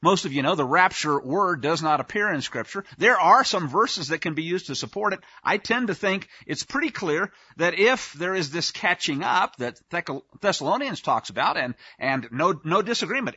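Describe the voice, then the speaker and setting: Male preacher, high lively pitch, folksy sarcastic teaching, dry close sound. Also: husky, dry recording, highs cut off. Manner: folksy, conversational, sarcastic asides. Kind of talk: informal teaching sermon.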